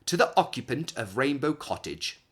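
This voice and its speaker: A man's voice: medium pitch, very animated, normal speed, a bit bright. A man voicing an officious council committee's formal, declamatory letter in a children's storybook.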